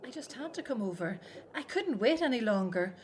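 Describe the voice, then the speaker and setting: A woman's voice: on the high side, sing-song, brisk, a little hiss or hum. A woman playing a devoted middle-aged daughter, torn between hope and doubt, in a radio drama. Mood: doubtful, wistful.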